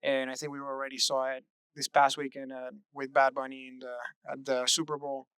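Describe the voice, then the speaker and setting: Earnest young Venezuelan-born founder, crisp voice. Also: male voice, medium pitch, monotone, brisk, a bit bright, no room sound. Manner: earnest, reflective, frequent "uh" fillers. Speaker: startup co-founder, interview guest. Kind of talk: relaxed interview answers.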